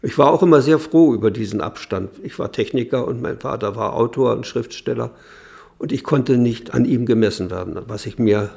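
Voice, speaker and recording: An older man recalling his working life in an interview, halting and reflective. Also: medium pitch, creaky, mellow. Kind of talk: interview recollection.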